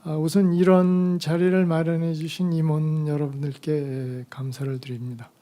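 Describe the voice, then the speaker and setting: An older man lecturing at an academic conference, his voice hoarse from a cold. The tone is mellow and dark. He moves haltingly, pausing on fillers as he gathers each thought. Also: a little high, little pitch movement. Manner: halting, slow delivery with many fillers. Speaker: retired medical professor giving a conference lecture.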